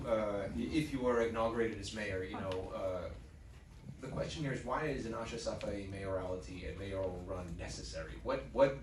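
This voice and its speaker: A man interviewing a candidate on stage, asking wry, winding questions with many restarts. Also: lowish voice. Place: venue with live audience. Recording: roomy, distant mic, audible room noise.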